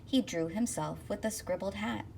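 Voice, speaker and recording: A woman reading a rhyming children's picture book aloud expressively, with rhythmic, deliberate phrasing. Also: mid-range voice, gravelly, a little mellow. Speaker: school counselor reading aloud. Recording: distant mic with faint noise.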